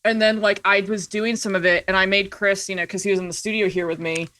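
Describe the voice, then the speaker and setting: A woman's voice: mid-range voice, fairly even intonation, fast, fairly bright tone. A woman podcast guest telling stories in casual, informal conversation, peppered with "like" and "you know". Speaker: podcast guest, indie producer. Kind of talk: casual chat, storytelling.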